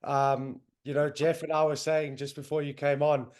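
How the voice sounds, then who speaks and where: Young man, South African accent, podcast host, enthusiastic, lots of fillers, slightly high pitch. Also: little pitch movement, moderate pace, darkish tone. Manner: loose, filler-heavy, enthusiastic. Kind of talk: casual podcast chat. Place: home in northern England, on a video call.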